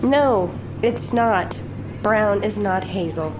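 An actress playing an unhappy, needling wife in an audio drama, pointed and challenging in a naturalistic way. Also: female voice, medium pitch, slow pace, over music, close to an everyday voice, low-quality recording. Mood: testing, unimpressed.

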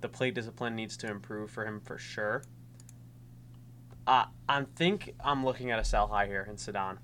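A young man with a bright, forward voice, sounding stuffy from a cold, hosts a solo sports podcast. He talks casually and often restarts his sentences. A faint hum sits under the recording. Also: fairly low pitch, moderate pace. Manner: casual, with frequent restarts and filler. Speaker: podcast host. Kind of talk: solo fantasy baseball podcast.

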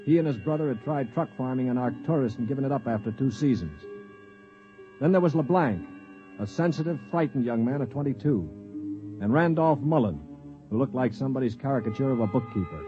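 An actor narrating and playing a calm, sardonic space traveler in a 1950s radio drama. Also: male voice, medium pitch, normal speed, lightly acted. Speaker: narrator and lead. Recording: dull old radio recording with music behind.

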